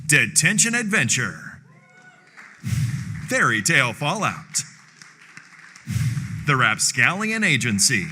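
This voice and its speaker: A man's voice: mid-range voice, bright, forceful tone. A man announcing a list of award nominees in a scripted style. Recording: music and crowd behind announcement.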